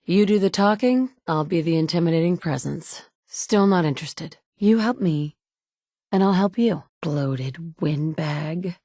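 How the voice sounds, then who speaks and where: A woman's voice: on the low side, measured pace, warm tone, dry recording, clean studio sound. An actress in her thirties voicing a blunt, sardonic woman survivor searching for her son in a video game. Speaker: player character. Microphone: close studio mic.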